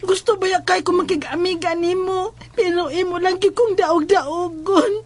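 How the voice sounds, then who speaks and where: A woman's voice: very high-pitched, fairly even intonation, normal speed. A woman playing a spiteful, boastful rich schoolgirl bully who taunts vainly in a melodramatic audio drama. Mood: taunting. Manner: taunting, vain.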